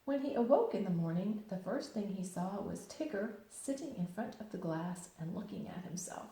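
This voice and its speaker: A woman's voice: medium pitch, animated, normal speed, dark tone. A woman teacher reading a children's storybook aloud expressively, with simple, explanatory phrasing. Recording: very echoey room.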